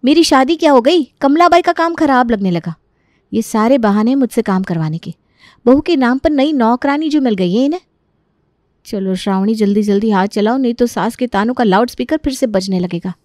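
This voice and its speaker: A woman's voice: on the high side, lively intonation, normal speed, a little mellow, dry recording, clean studio sound, natural voice. A woman voicing a meek, overworked young daughter-in-law who pleads and complains in a dramatised story. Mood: resentful, complaining.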